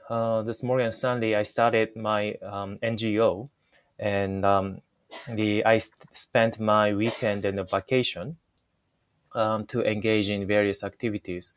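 Man w a japanese accent, fairly low voice, lots of ums and restarts, dry sound. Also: no room sound. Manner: hesitant, many fillers and restarts. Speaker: company founder and guest. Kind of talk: interview answers.

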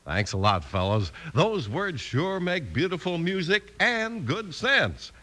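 A man's voice: medium pitch, bright, forceful tone. A man with an American accent announcing in emphatic, polished 1950s radio style. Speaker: announcer and narrator. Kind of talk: 1950s radio announcing, scripted narration and sponsor pitch.

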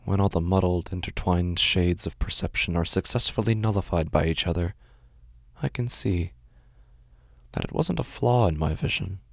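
A man playing an unhinged narrator in a first-person horror audio drama, speaking in a halting, intense, dramatic way and repeating phrases. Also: deep voice, vocal fry, no echo, clean studio sound, exaggerated voice. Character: unhinged man narrating his horrifying loss of sight.

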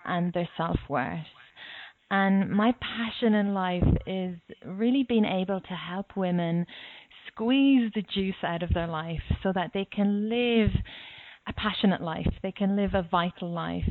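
A woman's voice: on the low side, no echo, narrow, tinny audio. A woman with an Irish accent speaking as a life coach, earnest and emphatic, stressing her key phrases. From Ireland. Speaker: life coach and yoga teacher. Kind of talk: earnest personal talk about coaching.

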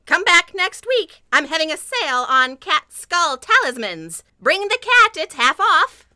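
A woman's voice: extremely high pitch, sing-song, measured pace, bright, forceful tone, heavily acted. A woman playing a sly, middle-aged witch shopkeeper in comedic radio theatre, switching between grand incantation and dry, menacing salesmanship. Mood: sly, cackling.

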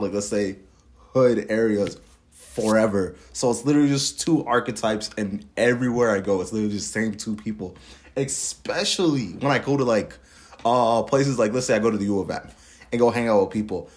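A young man from Minnesota asking questions in a blunt, slangy, casual way. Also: on the low side. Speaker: interviewer. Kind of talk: casual conversation.

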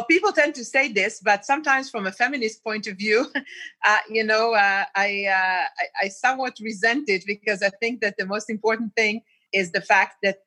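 A woman in her fifties from Israel talks as a guest diplomat, picking her words with care and often restarting her sentences. Her voice is bright and forward. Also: medium pitch. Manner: thoughtful, searching for words with many restarts. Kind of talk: podcast interview.

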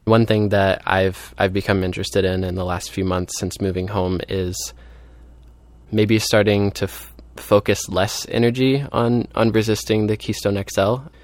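Low, even-toned man talking casually. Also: measured pace, no room sound. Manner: informal, thinking aloud with frequent "like". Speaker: activist being interviewed. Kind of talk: casual spoken interview.

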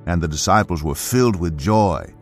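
Male audio Bible narrator, low voice, scripted scripture reading over music. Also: animated, normal speed.

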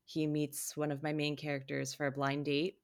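Low-voiced woman telling stories. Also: completely flat delivery, moderate pace, darkish tone. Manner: storytelling, acts out quotes. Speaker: podcast co-host and author. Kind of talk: casual podcast chat.

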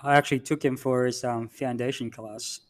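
A man who grew up in the Philippines, co-hosting a podcast in a laid-back, self-deprecating way with many pauses and um's. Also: medium pitch, fairly even intonation. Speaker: podcast co-host. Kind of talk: casual storytelling chat.

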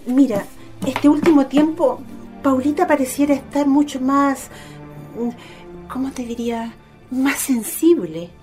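A woman playing a kindly, gently intrigued mother in a radio drama. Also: high voice, unhurried, soft background music. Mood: intrigued, confiding.

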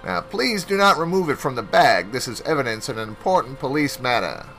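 A man's voice: medium pitch, expressive, a little fast, sharp tone. A man voicing an earnest male police captain who asks careful questions with small stammers.

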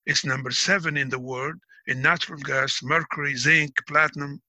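Guy, middle-aged, lectures a bit like a teacher, really bright sharp voice, dry close sound. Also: fairly high pitch, fairly even intonation, moderate pace, no echo. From Algeria. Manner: explains like a teacher; proud, emphatic. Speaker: interviewee. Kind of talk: casual interview talk.

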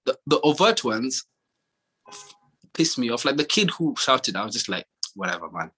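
A young man from Kenya in casual chat, telling stories in a relaxed way with many fillers and restarts. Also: medium pitch, moderate pace, husky.

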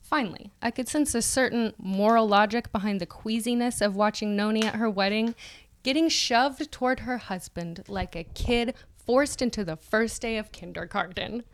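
A woman's voice: a little high, moderate pace. Woman reading aloud with laughs. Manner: reads aloud, breaks into laughter and asides. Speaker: podcast co-host. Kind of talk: dramatic reading of an article with banter.

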